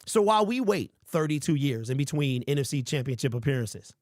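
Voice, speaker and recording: A man in his forties hosting a casual sports talk show, reading viewer comments and rambling with lively emphasis. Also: mid-range voice, a little fast, no room sound, clean studio sound. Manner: animated, rambling, calls listeners bro. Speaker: sports podcast host.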